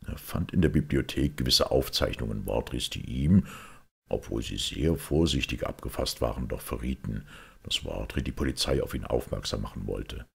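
Very deep gravelly man reading a story. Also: moderate pace. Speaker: audiobook reader. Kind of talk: scripted literary narration of a detective story.

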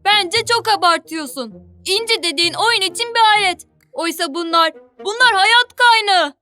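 A woman voicing an excitable, kind-hearted and cautious young sea creature in a children's cartoon, earnest and expressive. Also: extremely high pitch, normal speed, soft background music. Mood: earnest pleading.

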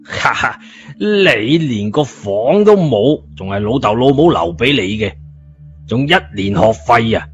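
A man voicing a rough, hot-headed, scornful uncle in an audio story, with dull sound. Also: mid-range voice, animated, unhurried, lightly acted. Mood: mocking. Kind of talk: scripted audio story dialogue. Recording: dull lo-fi audio, music behind.

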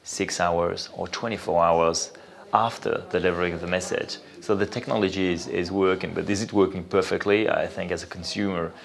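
Male interview guest, French accent, low-pitched, explains in a halting, thinking-aloud way. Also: fairly even intonation, gravelly. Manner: halting, thinking aloud with repeated "you know". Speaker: interview guest, venture capital investor. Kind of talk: spoken interview, explanatory talk.

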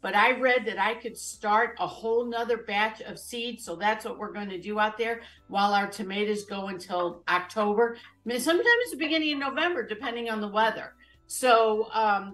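A woman's voice: mid-range voice, fairly bright tone, rough recording. A woman in her fifties hosting a live cooking show from her home kitchen, chatting casually and explaining each step as she goes.

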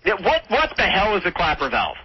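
A man's voice: high voice, huge pitch swings, brisk, slightly rough. A man on a phone call, flustered and stammering as he protests. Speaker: caller being pranked. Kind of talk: phone conversation. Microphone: telephone. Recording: muffled, noisy phone call on radio.

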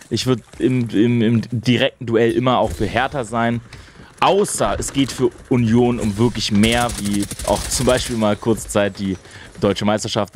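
A young man chats away as he plays, enthusiastic and easily sidetracked. His voice sits at an ordinary middle pitch, close and clear on a boom mic in a small home room. Faint game gunfire and mouse clicks sit low behind him. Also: a little hiss or hum. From Berlin, Germany. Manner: chatty and enthusiastic, rambling asides. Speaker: gaming streamer. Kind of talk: casual chat while gaming. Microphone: studio mic on a boom arm, wearing headphones.

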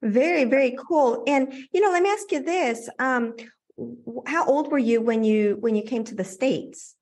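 A woman hosts a podcast with a fairly high voice. The sound is close and dry, with very little room on it. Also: moderate pace, a little mellow, no echo. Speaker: podcast host. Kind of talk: scripted introduction and interview.

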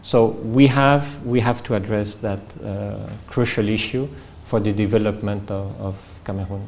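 Measured French-accented man in his fifties. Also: fairly low pitch, fairly even intonation, a little mellow. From France. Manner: measured, hesitant with many fillers and restarts. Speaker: ambassador being interviewed. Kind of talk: diplomatic interview answers. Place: formal reception room indoors.

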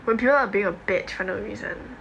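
Young Singaporean woman, livestream host, medium-pitched and offhand, slangy casual chat. Phone mic in a room with clear background noise. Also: a little fast, fairly bright tone. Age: young adult. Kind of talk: casual livestream chat, reading and answering comments. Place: home bedroom, indoors. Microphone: phone at arm's length. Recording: noisy phone recording in a room.

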